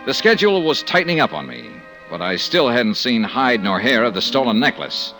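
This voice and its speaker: Sardonic male private investigator, low swooping delivery, clipped and deadpan, vintage radio drama, music behind. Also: fairly quick. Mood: dry, brooding narration. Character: wry, hard-boiled male insurance investigator, cool and sardonic. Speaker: series lead and narrator. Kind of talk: 1950s radio detective drama acting, first-person narration.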